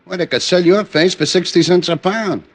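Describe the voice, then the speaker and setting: An actor playing a nervous, wisecracking cab driver in an old radio drama. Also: male voice, high voice, normal speed. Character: jumpy wisecracking cab driver, adult male. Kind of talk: 1950s radio drama acting.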